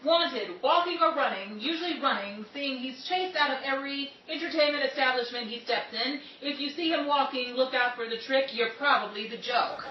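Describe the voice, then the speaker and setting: A woman's voice: high-pitched, moderate pace, hardly any pauses, natural voice. A woman playing an exasperated, sarcastic aspiring Hollywood dancer of the 1930s in an old-time radio comedy style. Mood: sardonic. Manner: sarcastic, punchline-driven complaining. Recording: roomy, distant, dull, noisy amateur recording.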